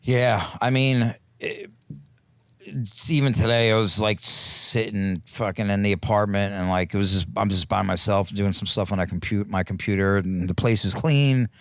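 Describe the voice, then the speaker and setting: A man's voice: fairly low pitch, fairly even intonation, moderate pace, fairly bright tone, dry recording. A man, a comedian and drug counselor, chatting as a podcast guest, rambling and swearing, restarting his sentences. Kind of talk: casual chat.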